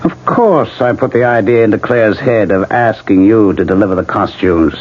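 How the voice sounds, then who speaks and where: A man's voice: lowish voice, moderate pace, lightly acted. An actor voicing the needling ghost of a dead man, wry and insinuating, in 1970s radio drama style. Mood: sly, coaxing. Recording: muffled, hissy 1970s radio recording.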